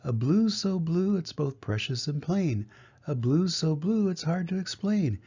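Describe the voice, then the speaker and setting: A man's voice: fairly high pitch, animated, a little slow, mellow, breathy edge, exaggerated voice. A man voicing a dreamy, earnest little boy from a storybook, with wistful, rhythmic pleading. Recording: dull lo-fi home recording.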